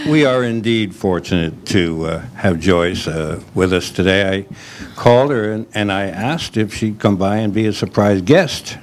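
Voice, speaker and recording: A man speaks as an event host, introducing informally. His voice is fairly low, with faint noise behind it.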